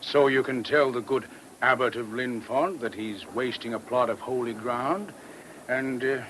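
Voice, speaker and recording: A faintly noisy old radio drama recording carries an actor playing an easygoing army major. He is a cynical, unrepentant man of the world who talks in wry, folksy phrases at a middling pitch. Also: steady tone, measured pace, a bit bright, close to an everyday voice. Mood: wry, unhurried. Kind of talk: radio play acting.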